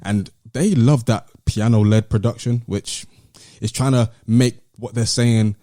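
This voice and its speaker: A man's voice: medium pitch, steady tone, unhurried, mellow, no echo, clean studio sound. A man with a British London accent, hosting a podcast and casually discussing music with friends. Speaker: podcast host.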